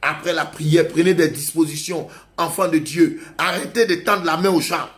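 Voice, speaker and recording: A man's voice: on the high side, moderate pace, fairly bright tone. A male pastor preaching a sermon, urging his congregation with emphatic, repetitive exhortations.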